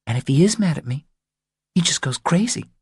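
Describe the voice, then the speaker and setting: A battered young mother as a male audiobook narrator plays her pleads her case in short, earnest phrases. The voice is soft, husky and lifted, recorded very dry, with a trapped yet defiant temper. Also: a little high, lively intonation, fairly quick, no room sound, pitched above the performer's own voice. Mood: anguished, indignant.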